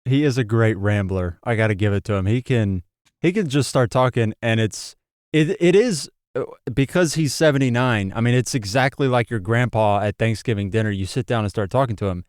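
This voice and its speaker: An easygoing man sits in as a guest co-host, chatting loosely and laughing along. His voice is at an average pitch for a man, and the recording sounds close and dry. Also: fairly quick, a little mellow, clear, resonant tone, no room sound. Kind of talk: casual chat.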